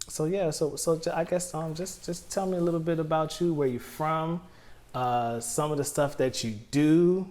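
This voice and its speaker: A man's voice: on the high side, fairly even intonation, normal speed, a little mellow. A man hosting a casual interview show, easygoing and rambling with frequent laughs. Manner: easygoing, rambling, many fillers and restarts, laughs. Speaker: podcast host.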